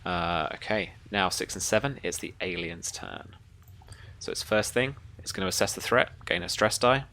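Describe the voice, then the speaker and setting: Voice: low voice, animated, normal speed, fairly bright tone. A game master narrating a tabletop role-playing game in a conversational, descriptive way.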